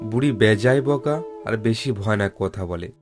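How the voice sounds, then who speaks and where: A man's voice: fairly low pitch, moderate pace. A man reading a scripted folk tale as narrator. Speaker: storyteller, narrator. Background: plucked guitar-like music.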